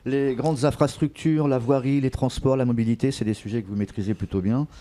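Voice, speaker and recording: A man's voice: mid-range voice, steady tone, fairly quick, warm tone. A man from France hosting a political show and putting questions to his guest. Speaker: political show host, interviewer.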